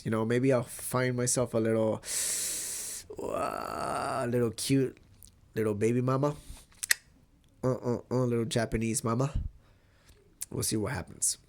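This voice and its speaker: A man's voice: medium pitch, vocal fry, darkish tone. A young man from Mexico talking casually in a vlog, with a stuffy, nasal voice from a cold, rambling and swearing easily. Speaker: vlogger.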